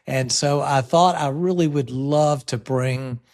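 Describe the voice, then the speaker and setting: A man hosting a podcast interview, introducing his guest in a friendly, unhurried way with lots of "uh" fillers. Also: medium pitch, a little mellow. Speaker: podcast host.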